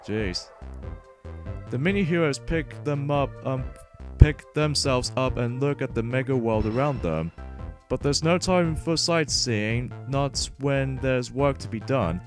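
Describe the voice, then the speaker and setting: A man hosts a video game let's play in a mid-pitched voice. He is wordy and rambling, often stumbling over his words, with game music playing behind him. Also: expressive, measured pace. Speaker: host of a gaming let's play. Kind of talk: gameplay commentary and read-aloud narration.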